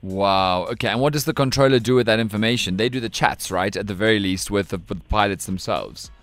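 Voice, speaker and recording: A man's voice: fairly low pitch, fast, a bit bright, no echo. A South African man hosting a radio show, interviewing in a chatty, informal and enthusiastic way. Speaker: radio breakfast show host. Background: faint background music.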